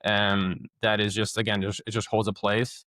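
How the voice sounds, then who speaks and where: A man's voice: fairly low pitch, level tone, normal speed, fairly bright tone, no room sound, studio quality. A man hosting a podcast, asking casual interview questions with lots of fillers and stumbling restarts.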